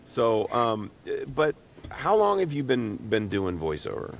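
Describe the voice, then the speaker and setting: A man with a rough, hoarse voice, sick, co-hosting a casual interview with joking, friendly banter. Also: mid-range voice, big pitch swings, measured pace. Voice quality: rough, raspy. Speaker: podcast co-host interviewing guests. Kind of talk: casual chat.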